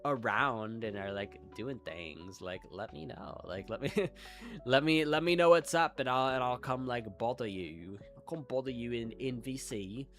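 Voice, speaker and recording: A man's voice: mid-range voice, measured pace, a bit bright, faint music behind. A young man with a Midwestern American accent, rambling through a casual live-stream chat with lots of filler words. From Midwestern United States. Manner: rambling, chatty, frequent "like" fillers, self-deprecating.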